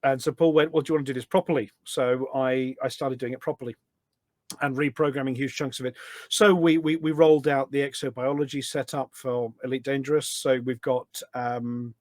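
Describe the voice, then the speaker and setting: A man's voice: mid-range voice, steady tone, a little fast, dry recording. A British man telling anecdotes in a casual, enthusiastic, rambling way as a podcast guest. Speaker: podcast guest, voice pack developer. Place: small studio.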